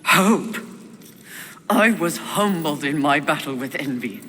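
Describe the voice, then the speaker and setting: A woman playing a proud, bitter rider of the apocalypse in a video game, with a cold, formal, deliberate delivery. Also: low-pitched, animated, sharp tone, exaggerated voice. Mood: bitter despair. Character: proud, bitter, imperious female horseman warrior of apocalypse. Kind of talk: dramatic video game acting. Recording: long hall reverb over music.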